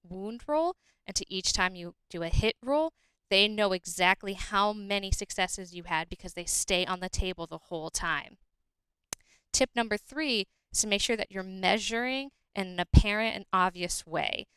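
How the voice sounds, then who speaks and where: A woman's voice: mid-range voice, a bit bright, clear, resonant tone, no room sound, low-quality recording. A woman presenting game tips as a guest, explaining steadily and in order.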